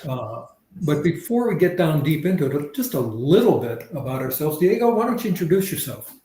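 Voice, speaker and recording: A man from Tennessee giving a webinar presentation over a video call, measured, with many fillers and restarts. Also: a little high, sing-song, mellow, echoey room.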